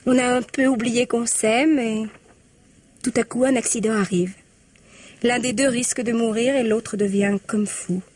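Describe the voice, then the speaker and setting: A cheerful, talkative wife from an old radio mystery. Her voice is a little high and breathy. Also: steady tone, a little slow. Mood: chatty. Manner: warm, talkative. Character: cheerful, chatty, naive young wife. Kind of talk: 1950s radio drama acting.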